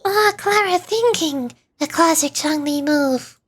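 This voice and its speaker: Casting: woman playing a tiny excitable fairy girl for a game, high, eager, full of exclamations. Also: takes it slowly. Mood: cheerful. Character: small chirpy, excitable fairy-like girl companion.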